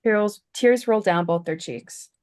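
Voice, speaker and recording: A woman reading stage directions as scripted narration, with a creaky voice. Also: medium pitch, slow, a little mellow.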